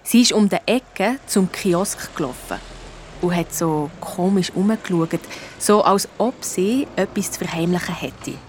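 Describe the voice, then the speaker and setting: A woman's voice: lowish voice, unhurried, noisy background, close to an everyday voice. A female performer with a Swiss German accent playing a young girl detective who tells her case in colloquial first-person narration. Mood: determined, secretive. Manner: colloquial storytelling. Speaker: narrator of a detective radio play.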